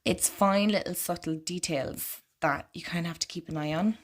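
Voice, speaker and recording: A woman vlogger walking through an oracle card deck in casual, rambling chat, her voice slightly croaky and congested. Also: fairly low pitch, normal speed. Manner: chatty and rambling, lots of "like".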